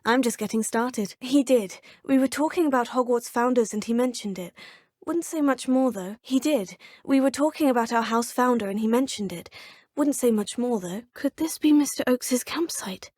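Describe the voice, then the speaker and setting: A woman voicing a polite, plucky teenage witch student with a breathy voice, recorded close on a studio mic. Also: fairly high pitch, moderate pace, a little mellow, no echo, close to an everyday voice. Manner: polite, proper phrasing. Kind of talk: game voice clips.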